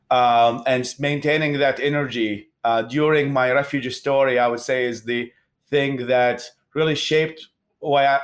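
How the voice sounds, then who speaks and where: A man from Iraq in his thirties, a guest answering interview questions in an earnest, halting way with many restarts. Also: mid-range voice.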